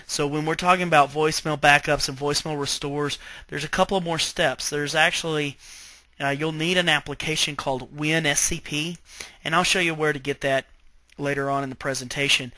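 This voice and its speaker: Bright-voiced man giving software training. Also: a little high, no room sound. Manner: step-by-step explaining, checking in with okay. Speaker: IT trainer presenting software tutorial. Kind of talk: informal instructional screen-share walkthrough.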